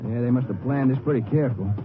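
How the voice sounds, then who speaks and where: An observant, practical lawman sidekick played by a man in an old radio western. His mid-pitched voice is plain and clipped. The recording is muffled and narrow. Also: quick pace, over music, lightly acted. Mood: businesslike. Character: observant Texas Ranger partner, plain-spoken. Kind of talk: 1950s radio western acting.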